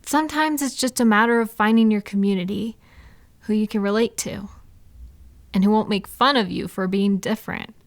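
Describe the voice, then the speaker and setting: A woman playing a supportive, gentle female friend in an intimate, casual comfort roleplay, speaking in a reassuring, validating way. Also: medium pitch, moderate pace, dry recording, lightly acted. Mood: reassuring, affectionate. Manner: reassuring, validating, softly indignant on listener's behalf. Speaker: audio roleplay performer.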